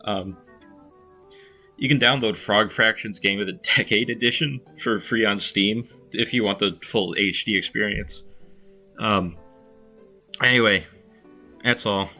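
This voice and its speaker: A man's voice: on the low side, measured pace, pauses often, pushed and bright. A man giving informal, unpolished spoken commentary as a video creator, in a self-deprecating way. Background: faint music.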